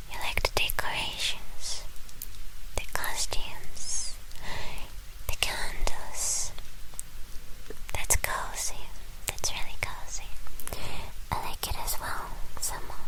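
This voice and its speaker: A woman voicing a sweet, playful young vampire girlfriend in a coy, halting, soothing whisper, as ASMR roleplay. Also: pushed and bright, low-quality recording. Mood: affectionate, coy.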